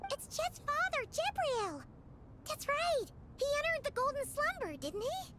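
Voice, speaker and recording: A woman voicing a tiny, excitable childlike fairy companion in a video game, squeaky and small. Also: a little slow, over music, exaggerated voice. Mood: excited. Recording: loud game sound behind voice.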